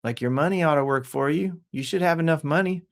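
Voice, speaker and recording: A middle-aged man hosting a livestream, talking casually and jokily in an animated, rambling, off-the-cuff way with rhetorical questions. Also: fairly high pitch, quick pace. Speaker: host of a livestream news show. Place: office.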